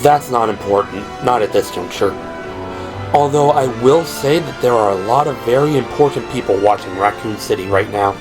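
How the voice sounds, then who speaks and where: A man playing a suave, mysterious man in his forties with a low, musical voice and an unhurried, courtly, cryptic way of talking in an audio drama. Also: over music, lightly acted. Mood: secretive. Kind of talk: audio drama character dialogue.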